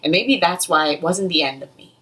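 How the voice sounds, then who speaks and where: A woman's voice: fairly low pitch, expressive, a little fast, pushed and bright. Distant-miked young woman reciting slam poetry. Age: young adult. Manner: earnest, emotive, rhythmic delivery. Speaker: slam poet. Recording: distant, off-mic amateur recording.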